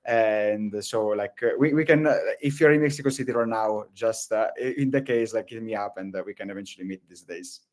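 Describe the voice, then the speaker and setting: A man's voice: fairly low pitch, a little fast, hardly any pauses, a little mellow. A man from Italy with an Italian accent, presenting a live webinar over a video call, talking in loose, rambling sentences full of fillers and restarts.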